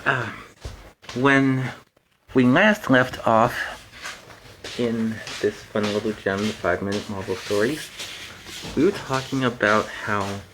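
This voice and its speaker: A man's voice: medium pitch, takes it slowly, long pauses, fairly bright tone, slight background noise, rough recording. A man in a superhero persona reading a children's storybook aloud, with chatty, playful asides between the passages.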